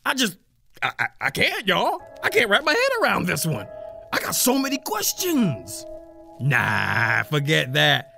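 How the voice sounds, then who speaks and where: An actress playing a sassy, excitable woman commentator in an audio drama, slangy and theatrical with emphatic repetition. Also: on the low side, unhurried, vocal fry, soft background music, exaggerated voice. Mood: baffled, theatrical. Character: sassy, excitable female town commentator addressing her listeners.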